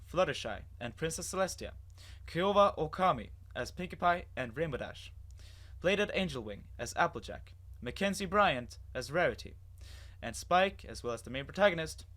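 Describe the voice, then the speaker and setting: A man's voice: a little high, sing-song, moderate pace, fairly bright tone. A man reading a scripted story aloud as a dramatic narrator. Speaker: narrator and author of a fan fiction reading.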